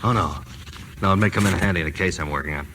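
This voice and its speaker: An actor playing a wry, brisk investigator husband in old-time radio drama, clipped and matter-of-fact. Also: male voice, low voice, natural voice. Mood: wry, teasing. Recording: noisy old radio recording.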